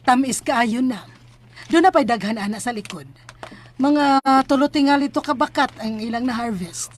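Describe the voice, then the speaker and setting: A Filipino-accented actress playing a kind, generous middle-aged mother in a radio drama, speaking in a gentle, motherly way. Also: fairly high pitch, moderate pace, close to an everyday voice. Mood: cheerful, generous.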